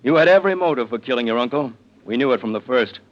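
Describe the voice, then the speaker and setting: An actor playing a composed, authoritative police chief in a 1940s radio mystery, speaking formally and with measure. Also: male voice, mid-range voice, lively intonation, fast, close to an everyday voice. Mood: stern, composed. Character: stern, composed police chief closing a murder case. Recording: dull vintage radio recording.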